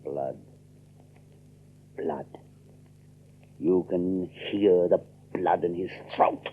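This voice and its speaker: A man's voice: sits low, extremely slow, a little hiss or hum. A man playing a sly, defiant colonial farmer in an audio drama, mockingly polite and theatrical. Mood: ominous. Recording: narrow-band, old radio sound.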